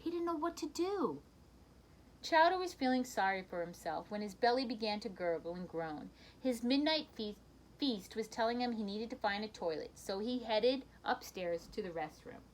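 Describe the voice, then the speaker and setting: A woman reading a children's picture book aloud in an expressive storytelling manner, with brief asides to her listeners. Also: fairly high pitch, normal speed, slight background noise, poor audio.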